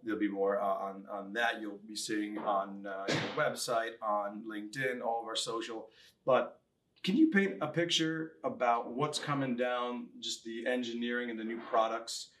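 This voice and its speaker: A man's voice: mid-range voice, moderate pace, a bit bright. Chatty male podcast co-host. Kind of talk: casual interview chat.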